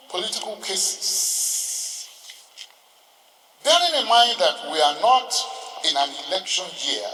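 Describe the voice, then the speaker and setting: A Nigerian-accented man giving a formal ceremonial address as a senior lawyer, oratorical and emphatic, with deliberate phrasing and rhetorical repetition. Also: sits high, bright, forceful tone. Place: large courtroom hall. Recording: distant mic, long hall reverb.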